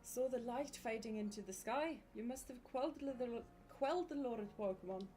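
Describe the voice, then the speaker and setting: A gushing, old-fashioned professor, as a woman puts him on, speaks in a patchy attempt at a Scottish accent. His voice sits fairly high and rings with formal, theatrical enthusiasm. Faint game music plays underneath. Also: moderate pace, mellow, close to an everyday voice. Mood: excited wonder. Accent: put-on Scottish attempt.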